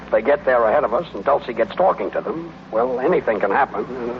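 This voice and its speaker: A man's voice: medium pitch, animated, fast, natural voice. An actor playing a harried, exasperated businessman husband in a 1940s radio comedy, a flustered straight man on a muffled, noisy recording. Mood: weary, worried.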